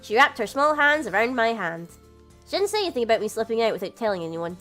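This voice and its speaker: Picture a sheepish, exasperated young man telling his own story as a game narrator, read by a woman. The voice stays fairly high with only a slight drop for the role. The delivery is measured and steady. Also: faint music behind. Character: young adult man, a flustered older brother who narrates. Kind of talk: reading a visual novel aloud.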